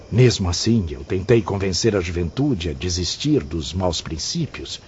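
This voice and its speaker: A man's voice: low voice, darkish tone. A man with a Brazilian accent playing an anguished, repentant spirit in an audiobook drama, with grave, theatrical, formal diction. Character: anguished, repentant male spirit confessing past vices. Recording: dull audio, faint ambient noise.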